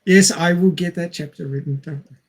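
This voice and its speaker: Higher-pitched male podcast co-host, wry. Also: steady tone, fast talker. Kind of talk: reading a film plot summary with wry asides and casual chat.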